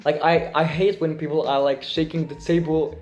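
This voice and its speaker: A man's voice: a little high, flat delivery, normal speed, darkish tone. A sixteen-year-old young man from Morocco with a Moroccan accent, thinking aloud hesitantly in a casual chat.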